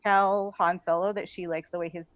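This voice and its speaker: A woman's voice: lowish voice, level tone, brisk, no echo. A woman chatting casually as an interview guest, phrasing her thoughts hesitantly with small chuckles. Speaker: podcast guest, author. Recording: thin phone-like call audio.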